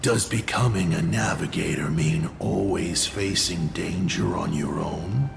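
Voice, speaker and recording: An actor playing a mocking, theatrical male villain in a video game, teasing and drawing out his words, with breathy dips toward a whisper. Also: low-pitched, heavily acted. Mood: mocking, amused. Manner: teasing, taunting, drawn-out dramatic delivery. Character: mocking, theatrical male villain, a fallen navigator turned destroyer. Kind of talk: dramatic video game voice acting. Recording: loud game music behind. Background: game soundtrack music.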